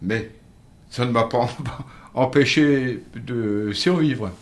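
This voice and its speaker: Older man telling local history. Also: mid-range voice. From Moselle, France. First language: Alsatian-Lorraine Franconian dialect. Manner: earnest, measured storyteller with halting restarts. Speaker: interview guest. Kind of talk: radio interview storytelling. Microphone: studio microphone.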